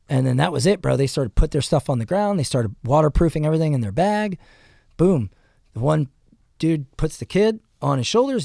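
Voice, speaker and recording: A man's voice: mid-range voice, vocal fry, darkish tone, no room sound, clean studio sound. A man telling stories animatedly in casual podcast chat, often saying "bro". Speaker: podcast guest. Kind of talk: casual storytelling.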